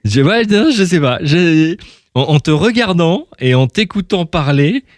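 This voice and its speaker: A man's voice: on the high side, very animated, unhurried, no room sound. Rambling male radio interviewer, very dry sound. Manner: rambling, repeats and rephrases himself. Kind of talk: casual radio interview chat.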